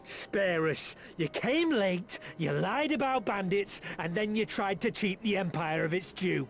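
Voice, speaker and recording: An actor playing a bullying, bitter imperial soldier in a video game, haughty and sneering. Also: male voice, high-pitched, animated, a little slow, creaky, over music, full character voice. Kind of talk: video game cutscene voice acting.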